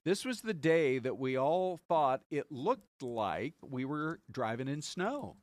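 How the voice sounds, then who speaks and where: A man's voice: medium pitch, very animated, slow pace, dry recording. An American man co-hosting a talk show, chatting casually about news and weather in a folksy way.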